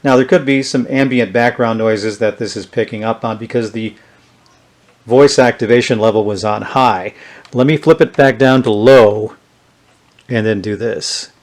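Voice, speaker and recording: A man's voice: medium pitch, normal speed. Man casually demonstrating vintage gadgets. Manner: unscripted, thinking aloud as he tests. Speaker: vintage electronics reviewer. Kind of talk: casual hands-on product demonstration.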